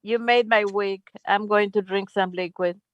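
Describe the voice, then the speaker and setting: A woman's voice: medium pitch, steady tone, normal speed, no room sound, lo-fi sound. Woman with the flu asking for a reading, upbeat, dry sound. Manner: upbeat, eager. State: has the flu. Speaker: caller. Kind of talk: asking a question on a video call.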